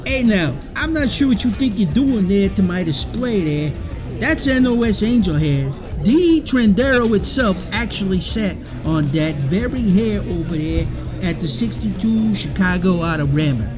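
A man's voice: sits high, animated, moderate pace. A man playing an exasperated, touchy car show exhibitor in a radio comedy, blunt and scolding. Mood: indignant. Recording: music behind the voice.

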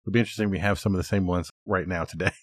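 A man co-hosting a podcast in relaxed, casual conversation. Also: low voice, rapid-fire, dry recording. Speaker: podcast co-host.

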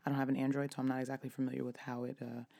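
Raspy hoarse woman in her 30s, podcast host chatting solo, pretty monotone, keeps restarting sentences. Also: rumbling low, racing. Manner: chatty, with frequent restarts and fillers. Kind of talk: casual solo podcast talk.

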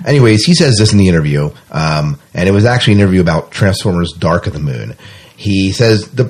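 Low-pitched, slightly husky man, blunt podcast host. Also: animated, fast talker, darkish tone. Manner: opinionated, blunt. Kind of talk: casual science fiction news chat, partly reading items aloud.

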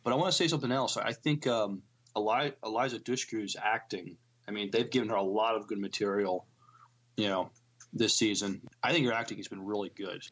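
A man with a fairly low voice co-hosts a podcast. He talks through news items in an informal way, somewhat halting, catching on the starts of words. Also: a bit bright. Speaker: podcast co-host. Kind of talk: casual chat and news reading.

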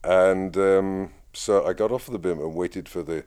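A man who leads prayers at his community, answering interview questions in a lively, storytelling way with frequent laughs. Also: sits low, a little slow.

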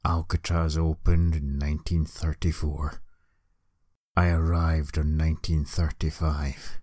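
A man's voice: low voice, heavily acted. A man playing a crude, cheeky dead gangster ghost in a theatrical, taunting podcast trailer monologue. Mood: sinister deadpan.

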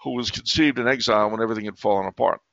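A man's voice: lowish voice, rapid-fire, fairly bright tone, dry recording, muffled recording. A man speaking as an author guest in a casual interview, hesitant, with many fillers and restarts.

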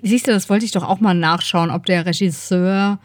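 A woman's voice: on the low side, steady tone, normal speed, no room sound. A middle-aged woman co-hosting a podcast, chatting casually in an informal, enthusiastic manner. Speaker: podcast co-host. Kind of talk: casual chat.

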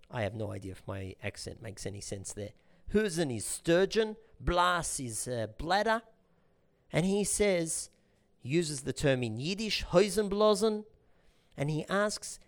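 A middle-aged man teaching an informal lesson in a lively, chatty way, explaining things step by step. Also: on the high side. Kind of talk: informal talk-show lesson.

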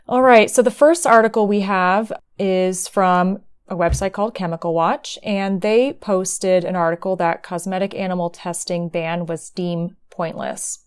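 A woman's voice: fairly low pitch, steady tone, measured pace, few pauses, clean tone. A woman in her thirties hosting a podcast, opening the show and chatting in an upbeat, organized way. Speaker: host. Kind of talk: scripted podcast intro and casual chat.

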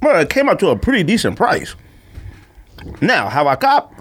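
A man's voice: sits high, wildly swooping pitch, normal speed, a bit bright, slight background noise. A man co-hosting a sneaker podcast in casual, slangy, joking chat, sounding a bit under the weather with a cold.

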